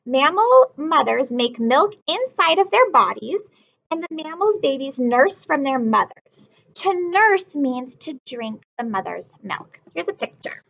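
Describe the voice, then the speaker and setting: Lively high-voiced woman teacher. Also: unhurried, gravelly, muffled recording. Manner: encouraging, asks children questions. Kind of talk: read-aloud lesson for young children.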